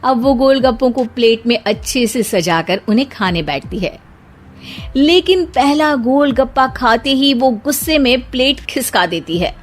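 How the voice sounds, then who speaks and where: A woman narrating a scripted cartoon family story in an expressive storytelling manner. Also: sits high, measured pace.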